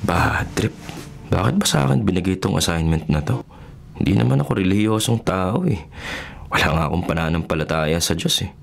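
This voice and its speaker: A young Filipino journalist in a radio drama, a self-reliant skeptic with no faith. His voice sits low and he talks in a questioning, conversational way over clear background music. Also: expressive, a little fast. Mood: bitter, scornful. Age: 20s.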